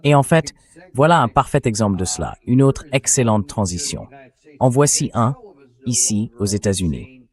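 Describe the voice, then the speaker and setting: A man's voice: medium pitch, sing-song, moderate pace. A man hosting a dubbed interview in a conversational way. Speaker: interview host.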